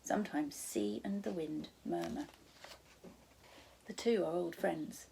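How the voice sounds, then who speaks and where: A woman voicing a kindly, playful gentleman guardian from a storybook, wryly humorous and reassuring. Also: on the low side, sing-song, takes it slowly, creaky, slight background noise, full character voice, poor audio. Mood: soft, whimsical.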